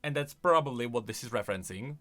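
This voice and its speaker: A man's voice: mid-range voice, expressive, quick pace, bright, forceful tone, soft background music. A man hosting a video, giving off-the-cuff, emphatic commentary that explains game lore.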